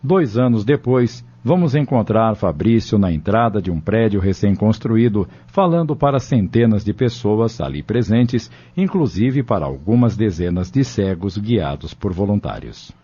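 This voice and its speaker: A man's voice: on the low side, very animated, normal speed, few pauses, dark tone, breathy edge. A man reading scripted radio drama narration in a formal announcer style. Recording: dull lo-fi radio, music behind.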